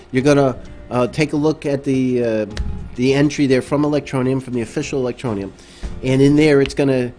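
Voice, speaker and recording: A man's voice: mid-range voice, darkish tone. A man hosting a casual late-night livestream, talking loosely with many ums and uhs and reading viewer messages aloud into a desk microphone. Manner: rambling, many fillers, reads chat aloud. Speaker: livestream host.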